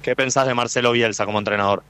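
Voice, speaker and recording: A man with an Argentine accent doing live street interviews for radio outside a stadium, chatty and quick with follow-up questions. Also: mid-range voice, steady tone, sharp tone, clear, resonant tone. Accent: Argentine Spanish. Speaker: field reporter.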